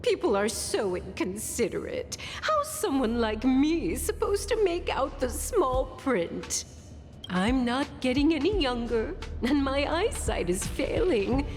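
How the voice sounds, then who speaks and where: A sweet-talking old timer from a video game, slow n swoopy, echoey hall sound, bit of music. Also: female voice, high-pitched, very animated, heavily acted. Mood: doting, wheedling. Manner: gushing, folksy, slightly grumbling. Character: flattering elderly person with failing eyesight. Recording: long reverb, faint music behind.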